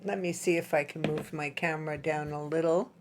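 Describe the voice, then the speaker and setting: A woman with a low, husky voice talks through a craft project step by step. Her voice is slightly hoarse from dry air, and her tone stays relaxed and chatty as she works with her hands. A faint hiss and the odd click sit under the recording. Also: fairly quick. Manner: chatty, explains while working with her hands. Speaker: craft tutorial host.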